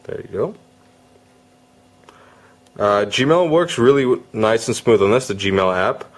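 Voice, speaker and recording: A man's voice: medium pitch, expressive, a little slow. A man giving a casual, conversational product demo as a gadget reviewer.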